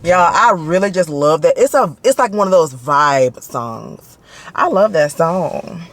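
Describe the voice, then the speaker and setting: High-voiced Alabama man chatting while driving. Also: measured pace, loud music behind the voice. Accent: Southern US, Alabama. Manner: animated, laughing often, chatty. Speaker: vlogger. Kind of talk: casual driving vlog. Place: in a car, driving. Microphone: handheld camera. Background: faint car and road noise.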